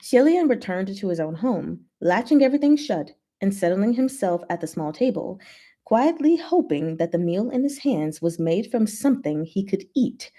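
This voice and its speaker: A woman's voice: mid-range voice, big pitch swings, moderate pace, dark tone. A woman hosting a casual livestream, playful and informal, chatting and reading stories aloud. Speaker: host of a livestream.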